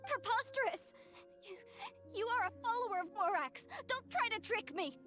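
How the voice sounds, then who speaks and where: A woman's voice: very high voice, lively intonation, normal speed, over music. A woman playing a devout, proud young woman in a video game, with a dramatic, earnest delivery. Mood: distraught denial. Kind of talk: English video game voice acting.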